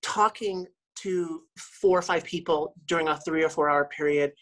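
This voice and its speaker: A woman's voice: deep voice, moderate pace, a bit bright, low-quality recording. A woman radio host, a guest on a podcast, talking in a chatty, rambling way with many restarts. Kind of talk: casual interview talk.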